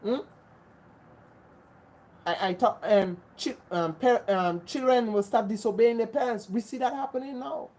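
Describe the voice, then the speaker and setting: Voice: mid-range voice, measured pace, darkish tone, low-quality recording. A livestream host from Grenada, talking earnestly to viewers. Often repeats and restarts phrases and speaks in an insistent, preachy way. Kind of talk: casual livestream chat answering viewers.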